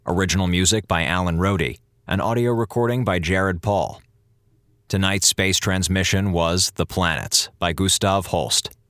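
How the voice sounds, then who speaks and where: A man delivers scripted credits as a show announcer. His voice is low and deep, and the recording is dry, with no room sound around it. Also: measured pace, a bit bright, clear, resonant tone, dry recording. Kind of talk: scripted narration.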